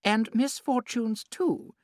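A man voicing a vivacious, theatrical middle-aged society hostess in a high, bright voice, in an audiobook reading. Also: slow, no echo, studio quality. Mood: airy.

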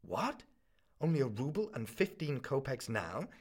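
Man reading a jittery, stammering young student, pitched up and anxious. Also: expressive, close to an everyday voice. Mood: nervous, pleading. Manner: stammering, hurried. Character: poor, nervous young male student, desperate.

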